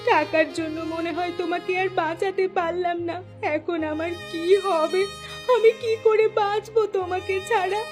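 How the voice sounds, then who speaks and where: A woman's voice: extremely high pitch, fairly even intonation, normal speed, over music. A woman playing an emotional, devoted village wife in a cartoon, pleading in a dramatic way. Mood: distressed. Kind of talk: Bangla cartoon dialogue acting.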